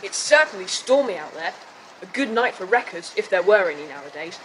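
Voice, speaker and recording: An actor playing a sensible, confident schoolboy who leads young adventurers in a children's audiobook drama, speaking briskly. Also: mid-range voice, big pitch swings, a bit bright, a little hiss or hum, low-quality recording. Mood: brisk, intrigued.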